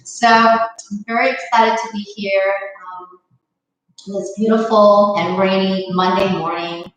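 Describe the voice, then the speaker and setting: A woman leading a live online yoga class, her voice a little rough from allergies, giving calm, guiding cues. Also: mid-range voice, a little slow, far from the mic, lo-fi sound. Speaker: yoga instructor. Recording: echoey room, away from the mic.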